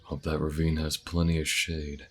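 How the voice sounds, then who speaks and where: An extremely deep-voiced alien bounty hunter, played by a man, husky and gruff. He is laconic and tactical, working things out aloud in a measured, folksy manner, as in an audio roleplay. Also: completely flat delivery, full character voice. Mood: calm, reasoning.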